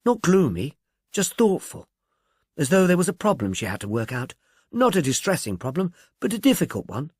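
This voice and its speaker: A man's voice: a little high, big pitch swings, moderate pace, a little mellow, slightly rough, dry recording, studio quality, pitched above the performer's own voice. A man voicing an agreeable, candid young film actress with a breezy, gossipy manner in an audiobook. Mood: breezy, thoughtful.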